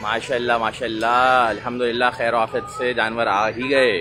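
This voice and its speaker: A man's voice: medium pitch, flat delivery, moderate pace. A man hosting a live stream outdoors, greeting viewers by name as he talks through the scene. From Pakistan. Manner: chatty, greets viewers by name, repeats mashallah. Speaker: livestream host. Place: city street outdoors. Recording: busy street noise and crowd chatter.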